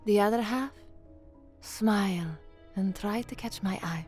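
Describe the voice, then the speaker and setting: An actress plays a young working woman from the slums in an audio drama. She is earnest and anxious, with a mid-range, introspective voice and measured delivery. Faint music sits behind her. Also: medium pitch, darkish tone, natural voice. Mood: weary, tense. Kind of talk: first-person dramatic narration.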